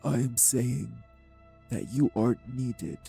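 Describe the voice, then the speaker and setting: A man playing a cold, manipulative vampire villain in an audio roleplay, speaking with deliberate, condescending menace in a husky, gravelly voice. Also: medium pitch, warm tone, soft background music, exaggerated voice. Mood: taunting, menacing. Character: cold, manipulative male vampire taunting his captive. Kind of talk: dramatic audio roleplay acting.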